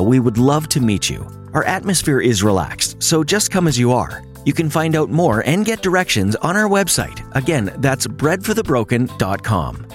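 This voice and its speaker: A male announcer reading a scripted closing for a church radio program. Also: medium pitch. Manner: smooth, warm, read from script. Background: soft music bed.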